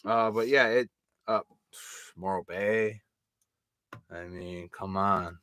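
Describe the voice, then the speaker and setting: A young man co-hosting a casual live stream, relaxed and joking as he rambles through memories. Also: low voice, slow pace, dry recording. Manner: laid-back, joking, rambling.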